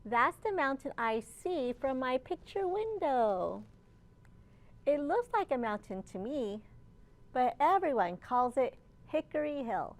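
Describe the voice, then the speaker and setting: A woman with a high voice reads a children's picture book as a storytime librarian. She takes her time, spacing phrases deliberately and turning to her young listeners with questions. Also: very animated.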